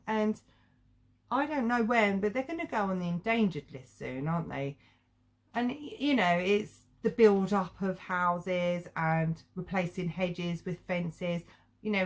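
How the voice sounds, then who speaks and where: A middle-aged woman giving a casual, chatty review to viewers in an informal, rambling, conversational way. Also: mid-range voice. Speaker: children's book reviewer and vlogger.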